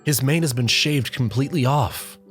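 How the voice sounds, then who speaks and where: A mid-pitched narrator delivers scripted storybook prose over light background music. The reading is addressed to the listener in the second person, as in an audio fanfic. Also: moderate pace. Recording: music behind the voice.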